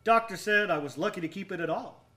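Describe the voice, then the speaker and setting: A man's voice: sits high, fast talker, sharp tone. An actor in a radio drama playing a genial, obliging man, eager and polite as he questions his friend. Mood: genial, welcoming. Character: genial, obliging male friend of an old sailor.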